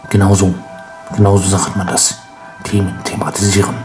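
A man with a low, flat voice, congested and a bit nasal from a cold. He rambles along in a wry, self-mocking tone while commenting on his game. Faint music runs under his voice. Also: moderate pace. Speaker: let's play host. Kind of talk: casual gameplay commentary.

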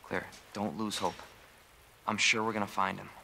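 A man's voice: on the low side, level tone, moderate pace, gravelly. A man with an American accent playing an earnest, protective young police officer in a video game cutscene. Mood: gentle, reassuring. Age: young adult. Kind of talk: cinematic game cutscene acting. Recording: loud rain and vehicle noise behind.

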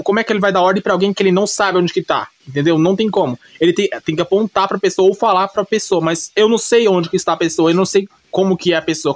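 A Brazilian man with a slightly high voice recaps a story off the cuff. He is colloquial and repeats himself, tagging sentences with quick check-ins. The sound is fairly dry, with little room. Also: no echo. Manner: colloquial, repetitive, frequent check-in tags. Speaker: video creator narrating an anime recap. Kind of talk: casual unscripted commentary, retelling a story.

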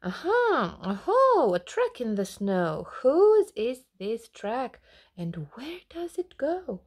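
A woman's voice: on the high side, wildly swooping pitch, slow, pitched above the performer's own voice, full character voice. A woman voicing a curious, brave young monster girl in a storybook, exclaiming and questioning in a sing-song way. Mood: excited.

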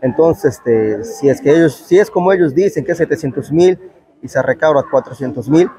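A man's voice: on the high side, lively intonation, moderate pace, mellow. A man in his thirties with a Peruvian Spanish accent, answering an interview as a town councillor, on a lapel mic in a covered market. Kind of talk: spoken news interview answer. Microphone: clip-on lapel microphone.

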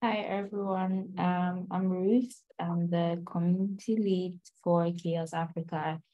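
A woman introduces herself on a video call with a fairly low, mellow voice. She speaks slowly and hesitantly, often restarting her sentences and laughing lightly. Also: steady tone, muffled recording. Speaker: community lead.

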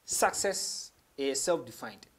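A man's voice: mid-range voice, animated, slow pace, fairly bright tone. A young man with a Ghanaian accent, a rapper chatting as an interview guest, telling stories with repetition for emphasis and switching between languages. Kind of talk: casual interview chat, mixing English and Twi. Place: small indoor radio studio.

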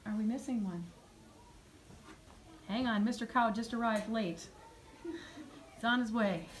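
Woman, high voice, expressive children's storyteller, music teacher, slightly noisy classroom recording. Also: slow. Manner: expressive, addressing young children. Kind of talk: live storytelling to children in a puppet show. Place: medium-sized school music classroom. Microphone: phone camera a few metres away. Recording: noisy classroom phone video.

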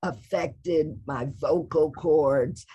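Middle-aged woman presenter, raspy, deliberate slow delivery, video call. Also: low-pitched, warm tone, dull audio. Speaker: conference presenter. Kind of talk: Q&A after a talk. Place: office.

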